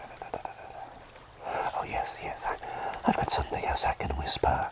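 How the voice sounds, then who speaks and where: A man playing a gentle, flustered plague doctor in a whispered ASMR roleplay, soothing his patient with halting, repeated reassurances close to the microphone. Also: a bass voice, gravelly, heavily acted. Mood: musing, playful.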